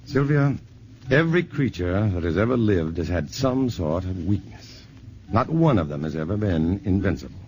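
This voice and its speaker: A man's voice: low-pitched, animated, moderate pace, a little mellow. A man playing a level-headed scientist in a 1950s radio drama, speaking steadily and reassuringly. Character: level-headed male scientist, adult, calm and protective. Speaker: scientist hero in a radio play. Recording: muffled old radio broadcast with faint hiss.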